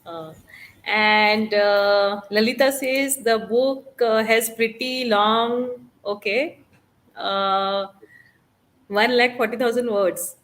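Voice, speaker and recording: Woman hosting a book club, slightly high voice, lots of uh and um while reading out questions. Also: slow pace, a bit bright. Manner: reads out audience questions with fillers. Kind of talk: online book club interview.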